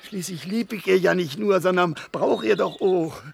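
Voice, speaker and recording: Gravelly, stubborn old man. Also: high-pitched, normal speed. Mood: desperate protest. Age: older. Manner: colloquial dialect grammar, repeating himself, protesting. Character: stubborn old husband, devoted to his wife, folksy. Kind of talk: radio drama acting. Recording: radio play with faint ambient noise.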